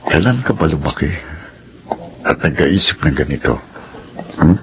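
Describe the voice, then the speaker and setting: A Filipino man playing a weary, tender middle-aged father in a radio drama, subdued and gentle. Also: very deep voice, sing-song, unhurried, full character voice. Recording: muffled radio drama, faint noise.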